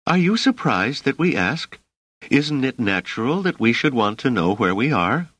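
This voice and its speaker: A guarded, forceful woman scholar from a novel as a man plays her. The pitch sits above a man's usual talk, and the delivery is formal and insistent. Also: huge pitch swings, unhurried, husky, no room sound, heavily acted.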